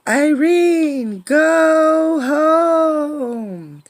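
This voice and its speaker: Shrieking, high-pitched winter wind. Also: female voice, expressive, no echo, clean studio sound, full character voice. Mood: menacing, wailing. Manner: squalling, yodeling drawn-out cries. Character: ill-tempered wicked winter wind, shrieking bossy threats.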